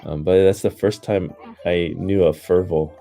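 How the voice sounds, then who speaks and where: A man hosting a livestream, chatting and reading comments in a soft, hesitant way with lots of fillers, low on energy and sleepy. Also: fairly even intonation, unhurried, creaky, over music. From United States. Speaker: livestream host.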